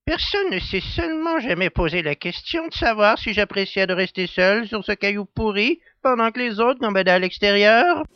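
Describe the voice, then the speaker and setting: A man's voice: high voice, expressive, moderate pace, hardly any pauses, clear, resonant tone, dull audio. A man as a grumbling, swooping spaceship crewman. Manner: whiny, complaining. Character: grumbling, put-upon male spaceship crewman left behind. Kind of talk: audio drama dialogue.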